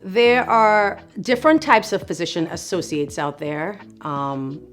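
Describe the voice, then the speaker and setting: Low-voiced woman from Trinidad and Tobago. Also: a little slow, soft background music. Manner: earnest, encouraging, reflective. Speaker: physician assistant. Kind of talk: speaking to camera about her work.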